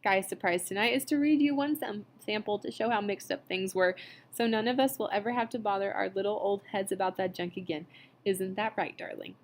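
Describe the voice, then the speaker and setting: A woman's voice: mid-range voice, fairly even intonation, moderate pace, slight background noise, natural voice. A woman voicing a nervous wife who covers up with sugary, quavering cheer.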